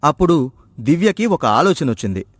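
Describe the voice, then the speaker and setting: A man's voice: on the high side, very animated, normal speed, no room sound, studio quality. A man reading a scripted story as its narrator.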